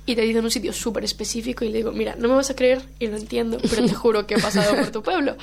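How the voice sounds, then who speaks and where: A woman's voice: on the high side, fairly even intonation, rapid-fire, creaky, a little mellow. A woman from Colombia whose first language is Brazilian Portuguese, chatting casually as a guest and laughing often. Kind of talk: casual chat.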